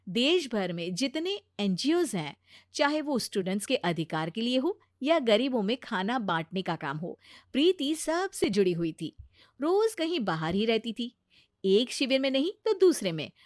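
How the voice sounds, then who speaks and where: A woman narrates a scripted story. Her voice is high and moves up and down in an animated way. The recording is very dry, with no room sound. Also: no echo.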